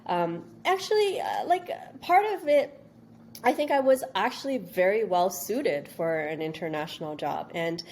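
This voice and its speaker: A woman from Canada, born in India, giving informal, halting interview answers and circling back over her phrases. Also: lowish voice, lively intonation, a little mellow, a little hiss or hum. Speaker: interview guest, registry business executive.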